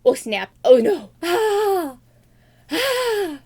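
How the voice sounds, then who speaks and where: A woman's voice: extremely high pitch, big pitch swings, drawn-out words, darkish tone, voice pushed up, exaggerated voice. A woman voicing a lovesick, whiny young princess in a melodramatic, wailing way for a fairy tale. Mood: panicked.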